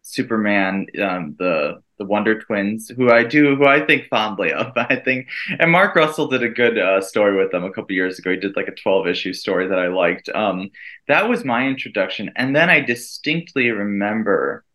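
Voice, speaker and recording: A man's voice: fairly low pitch, moderate pace, pushed and bright. A man in his thirties, a native Italian speaker raised in the United States, talking earnestly and reflectively as an interview guest. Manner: earnest, reflective, laughs lightly. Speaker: guest, event co-director and teacher. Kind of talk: casual interview conversation.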